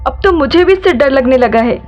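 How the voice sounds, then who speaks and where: A woman's voice: sits high, lively intonation, fairly quick. A woman playing a worried, scolding mother in a horror story, fretful and melodramatic. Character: worried, scolding mother afraid of her violent daughter. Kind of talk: dramatized horror story dialogue. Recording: dull lo-fi sound with music behind.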